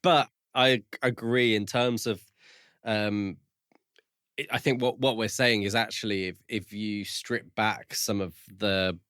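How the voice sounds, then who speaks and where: A man co-hosting a podcast, thinking aloud in a measured, tentative way during a casual book discussion. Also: fairly low pitch, steady tone, a bit bright, clear, resonant tone, dry recording, studio quality. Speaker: podcast co-host reviewing books.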